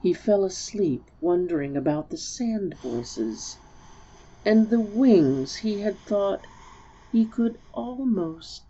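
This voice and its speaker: A woman narrating a storybook as a dramatic reading, measured and expressive. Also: medium pitch, lo-fi sound, a little hiss or hum.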